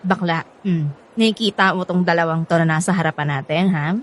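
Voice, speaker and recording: A woman with a Filipino accent playing a bitter, sharp-tongued, hot-headed young woman in a radio drama. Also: lowish voice, fairly quick, close to an everyday voice. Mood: irritated. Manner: sassy, blunt, slangy.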